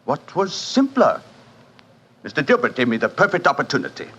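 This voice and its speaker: An actor playing a suave, fatalistic French casino manager in a 1940s radio drama, courtly and theatrical, with a French accent. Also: male voice, on the high side, huge pitch swings, brisk, bright, forceful tone, slightly rough, full character voice. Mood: fatalistic, proud. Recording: muffled vintage 1940s radio broadcast.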